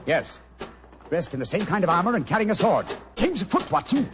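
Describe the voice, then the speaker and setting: A wry English detective, animated delivery. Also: male voice, high voice, a little fast. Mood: brisk, commanding. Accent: British. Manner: clipped, urbane, dryly ironic. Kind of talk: 1940s radio drama acting. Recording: muffled, noisy old radio recording.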